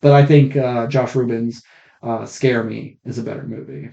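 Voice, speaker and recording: Blunt man chatting, muffled rough mic. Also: mid-range voice, steady tone, normal speed, warm tone. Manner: blunt, sweary, rambling. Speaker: podcast co-host. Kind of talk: casual movie review chat.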